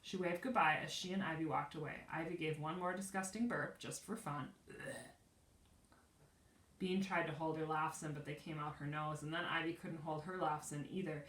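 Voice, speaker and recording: A woman teacher reading a children's storybook aloud expressively, pausing to explain the pictures. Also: low-pitched, fairly even intonation, a bit bright. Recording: echoey room, distant from mic.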